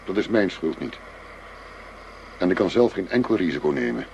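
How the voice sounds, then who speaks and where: A man's voice: deep voice, big pitch swings, normal speed, darkish tone. An actor playing a shrewd undercover policeman posing as a tough dealer, firm and businesslike, in a radio drama. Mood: hard, businesslike. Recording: noisy radio play recording with ambience.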